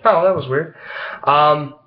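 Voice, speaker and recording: A man with a head cold and a slightly froggy voice, vlogging casually to camera in a halting, rambling way full of fillers. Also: fairly high pitch, lo-fi sound. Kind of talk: casual opinion vlog talking to camera.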